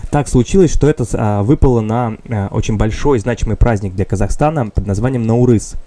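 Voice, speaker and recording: A man hosting a live-stream interview, speaking formally and haltingly with many fillers. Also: on the low side, mellow, slight background noise. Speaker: channel host.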